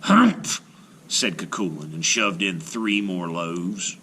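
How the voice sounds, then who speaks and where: A man reading a folktale aloud to children as an expressive storyteller. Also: deep voice, slow pace, slight background noise.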